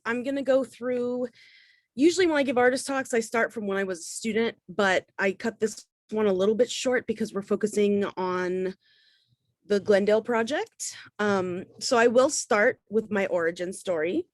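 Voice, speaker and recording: A woman's voice: medium pitch, normal speed, no room sound. Female artist-lecturer from the Bahamas, mid-range voice, conversational and explanatory, dry recording. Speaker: artist and professor giving a talk. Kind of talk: informal artist talk with slides.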